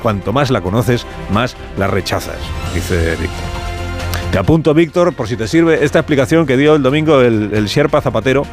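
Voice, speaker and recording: Male radio host, Castilian accent. Wry, chatty press review delivered over a clear music bed. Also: medium pitch, expressive, normal speed. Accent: Castilian Spanish. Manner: conversational, wry asides and rhetorical questions. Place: radio studio. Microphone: studio broadcast microphone.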